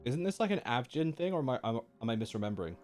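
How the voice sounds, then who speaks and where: Guy streamer chatting over a game, animated pitch, slangy, faint music under him. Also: very fast. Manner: informal, slangy, thinking aloud. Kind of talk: casual live reaction commentary.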